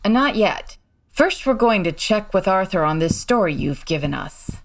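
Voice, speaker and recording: An actress plays a high-society lady detective from an old radio mystery. She is poised and businesslike, mid-pitched with lively melody. She questions people politely and takes charge. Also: normal speed. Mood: inquisitive, composed. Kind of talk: period radio-drama acting.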